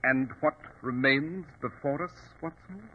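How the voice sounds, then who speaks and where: An actor playing a shrewd, self-assured gentleman detective with a clipped, superior manner in 1940s radio style. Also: medium pitch, a little slow. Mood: dry, knowing. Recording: dull, rough vintage radio recording.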